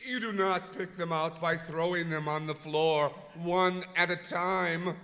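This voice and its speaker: A man's voice: on the high side, steady tone, measured pace, sharp tone. A man acting an exasperated, fussy department store manager in a theatrical old-time radio comedy style. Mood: frantic, aghast. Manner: flustered, theatrical. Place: live stage performance in a museum hall. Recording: echoey hall, some noise.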